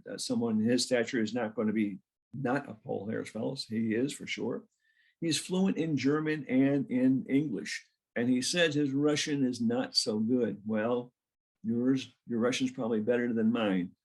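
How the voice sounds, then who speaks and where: A man's voice: mid-range voice, breathy edge, low-quality recording. A man introducing a guest speaker at a club meeting over a video call. He talks in a chatty, informal way and jokes a little.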